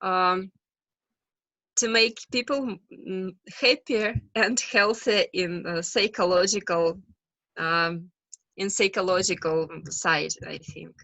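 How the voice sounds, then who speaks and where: A woman with a Russian accent, a psychologist answering questions on a video call, speaking slowly and carefully with many hesitations. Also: medium pitch, bright, forceful tone, dry recording, poor audio. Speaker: guest expert psychologist. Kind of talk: webinar Q&A answer.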